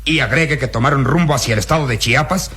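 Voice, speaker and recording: An actor playing an authoritative, brusque military commander in a Latin American radio drama, speaking in official, deliberate phrases. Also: male voice, mid-range voice, bright, forceful tone, slight background noise, natural voice. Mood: official, puzzled. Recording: radio drama with clear background music.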